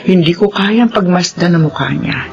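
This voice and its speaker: A woman playing a loving, worried middle-aged mother who gently scolds, with a Filipino accent, in radio drama style. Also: fairly low pitch, normal speed, muffled recording, natural voice. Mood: sorrowful. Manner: fond scolding, animated.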